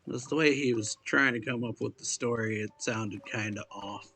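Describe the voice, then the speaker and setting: A man's voice: mid-range voice, moderate pace, creaky, sharp tone. A man giving informal let's-play reactions, dropping short muttered comments as he plays. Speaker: gaming video host.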